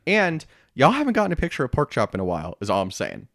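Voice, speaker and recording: A man's voice: medium pitch, huge pitch swings, quick pace, vocal fry, fairly bright tone, dry recording, studio quality. A man hosting a casual book discussion podcast. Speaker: podcast host.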